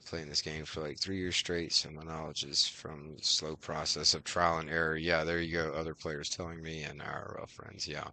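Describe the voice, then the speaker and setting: A man's voice: extremely low pitch, level tone, moderate pace, few pauses, pushed and bright, a little hiss or hum. A man giving casual live game commentary as a streamer, musing aloud and answering his chat. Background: game music.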